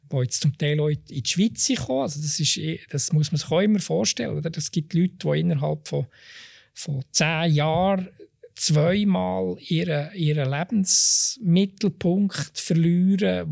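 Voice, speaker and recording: A Swiss-accented guest with a gravelly voice, pitched in the middle range. Their answers come slowly and hesitantly, full of fillers and restarts. The sound is close and dry. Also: darkish tone, no echo. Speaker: expert guest. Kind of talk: interview answers.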